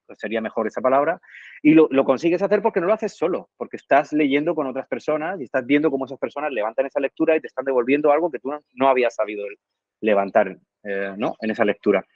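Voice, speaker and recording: A man answering radio interview questions in a reflective way, often pausing with fillers and tag questions. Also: mid-range voice, darkish tone, no room sound, dull audio. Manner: thoughtful, frequent "eh" and tag questions. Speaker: guest, reading promoter.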